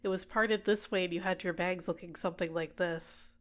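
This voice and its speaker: A woman playing a doting, possessive villain in an ASMR roleplay, speaking in a gentle, coaxing, soothing way. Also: on the low side, steady tone, a little fast, close to an everyday voice. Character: doting, possessive female villain caring for a captive. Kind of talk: ASMR roleplay, personal attention.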